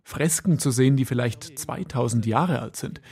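A man's voice: mid-range voice, moderate pace, darkish tone. A man reading the voice-over of a translated interview quote.

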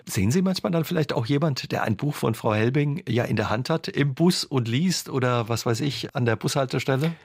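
A man's voice: mid-range voice, expressive, fairly quick, hardly any pauses, husky, no echo, clean studio sound. A male radio host asking friendly questions and chiming in with short encouraging remarks. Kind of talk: radio interview.

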